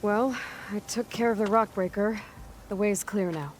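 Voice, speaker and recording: An actress playing a terse, capable young huntress in a video game, speaking in a level, matter-of-fact way. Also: female voice, mid-range voice, normal speed, a little hiss or hum, lightly acted. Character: terse capable female hunter, young adult. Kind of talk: video game cutscene acting.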